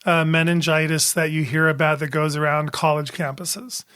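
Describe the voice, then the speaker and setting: A man's voice: on the high side, little pitch movement, normal speed, fairly bright tone, smooth. A man, a doctor co-hosting a podcast, explaining a disease in a casual, hesitant way with many fillers.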